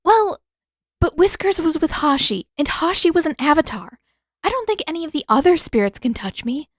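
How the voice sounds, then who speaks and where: A woman reader puts on a feisty teenage girl from a fantasy audiobook. Her voice sits high and comes across emphatic and stubborn, with an exasperated edge. The sound is dry. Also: lively intonation, normal speed, no echo. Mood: insistent.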